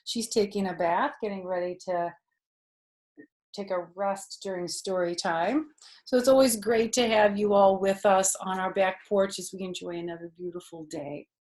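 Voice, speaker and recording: A woman's voice: mid-range voice, steady tone, moderate pace, darkish tone. An older woman hosting a children's storytime, chatting and explaining things simply to young listeners on a video call from a porch. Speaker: storytime host reading a picture book to children. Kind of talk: children's read-aloud and chat with listeners. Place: outdoors on a back porch. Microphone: computer or device on a video call.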